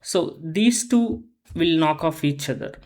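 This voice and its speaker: An instructor giving a methodical, step-by-step explanatory lesson on accounting software, often repeating phrases while explaining. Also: medium pitch, expressive, unhurried.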